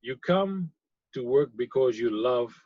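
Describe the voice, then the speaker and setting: A man from South Africa, a pharmacy technician, answering interview questions thoughtfully, with frequent pauses and fillers. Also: medium pitch, animated, no echo, lo-fi sound. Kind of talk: conversational interview.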